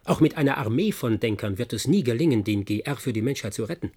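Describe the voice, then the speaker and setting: An actor playing an embittered, defiant scientist in a German radio drama, with a husky, breathy voice and a grave, intense delivery. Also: male voice, mid-range voice, animated, fast, a little mellow. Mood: bitter, defiant.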